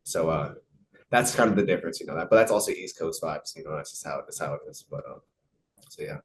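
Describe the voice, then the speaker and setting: Deep-voiced young man, quick rambling speech. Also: a little hiss or hum. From United States. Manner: relaxed, repeats words, many fillers. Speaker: professional soccer player. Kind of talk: casual interview answers.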